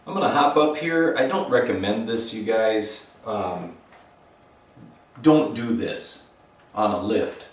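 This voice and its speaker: A man's voice: fairly low pitch, takes it slowly. A middle-aged man from Minnesota casually thinking aloud with fillers while working on a motorcycle in his home garage. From Minnesota, USA. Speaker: motorcycle builder and channel host. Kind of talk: casual workshop vlog. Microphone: camera mic, moving around the room. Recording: roomy garage, sometimes far from mic.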